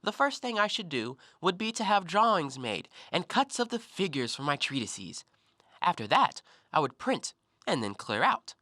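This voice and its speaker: Man acting an animated, self-righteous gentleman scholar. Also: on the high side, moderate pace, no room sound, studio quality. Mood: agitated, boastful. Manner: theatrical, argumentative, expansive speechmaking. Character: talkative, self-righteous, educated gentleman antiquarian. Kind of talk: stage-play acting in an audio drama.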